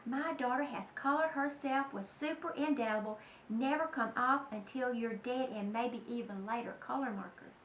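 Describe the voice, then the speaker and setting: Woman playing anxious mom, storybook, exclaiming, high bright voice, echoey room, distant mic. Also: moderate pace, hardly any pauses, slight background noise, natural voice. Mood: alarmed. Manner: exclaiming, dramatic. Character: excitable, anxious storybook mother, scolding and fretful.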